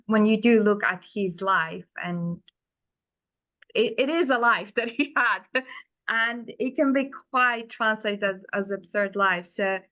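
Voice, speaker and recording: A woman theatre maker talks through her ideas on a webinar panel. Her voice is bright and forward, and she speaks earnestly, often pausing to find the right word before pressing on. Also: mid-range voice. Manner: earnest, searching for words. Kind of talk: round-table webinar discussion.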